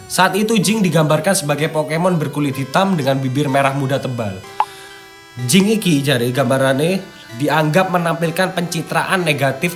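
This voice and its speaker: A young man with an East Javanese accent hosts casually, mixing read-out script with informal, slangy asides. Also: on the high side. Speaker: host. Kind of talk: casual chat mixed with reading from a script. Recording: music playing behind the voice. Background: background music.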